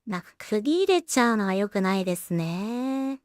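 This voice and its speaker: A woman's voice: mid-range voice, big pitch swings, moderate pace, very noisy. A woman giving casual production commentary, thinking aloud as she works as a solo animation creator and voice actor.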